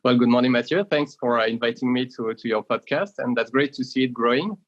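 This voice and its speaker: A man's voice: mid-range voice, fast, lo-fi sound. A man in his thirties, a company founder, explaining his business in an interview with frequent fillers and repeats. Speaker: startup founder and guest.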